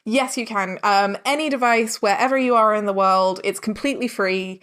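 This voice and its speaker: A woman's voice: medium pitch, moderate pace, a bit bright, smooth. A talkative, informal woman speaking emphatically as a guest in a casual podcast interview. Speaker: interviewed audio drama director and producer.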